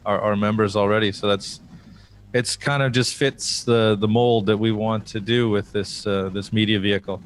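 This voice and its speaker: A man's voice: on the low side, steady tone, normal speed, slight background noise. A man hosting a podcast in a casual, conversational interview style. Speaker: podcast host.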